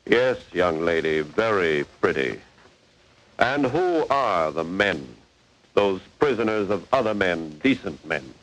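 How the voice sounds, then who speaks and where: A man playing a stern, authoritative narrator of a 1940s radio crime drama, with emphatic, deliberate phrasing. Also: deep voice, big pitch swings, fairly bright tone, a little hiss or hum, full character voice, rough recording. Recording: vintage 1940s radio broadcast.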